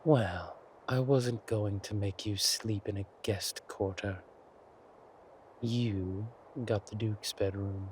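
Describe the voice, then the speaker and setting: An actor playing a smug, menacing villain king in an intimate audio roleplay, speaking deliberately and theatrically to a beloved consort. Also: fairly low pitch, fairly even intonation, a little mellow, a little hiss or hum. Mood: gloating, fond. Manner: slow, deliberate, theatrical, breathy asides.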